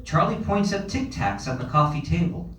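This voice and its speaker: A man's voice: on the high side, animated, moderate pace, fairly bright tone. A man narrating a screenplay's scene directions at a table read. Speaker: stage-direction reader. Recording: roomy, distant, faint ambient noise.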